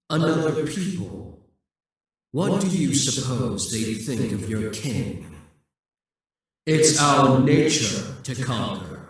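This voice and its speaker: Demon king, male performer: brooding, grandiose audio-drama villain, unhurried delivery, distant and drenched in echo. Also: mid-range voice. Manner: slow, deliberate, condescending, grandiose. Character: possessive, brooding demon king risen from death, seductive and imperious. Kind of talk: scripted audio roleplay monologue. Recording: echoing, reverberant, distant from the mic.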